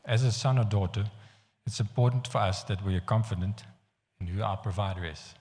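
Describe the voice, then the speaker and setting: Dutch male preacher, low mellow voice, earnest and halting, long church reverb. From Netherlands. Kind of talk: sermon. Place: church hall.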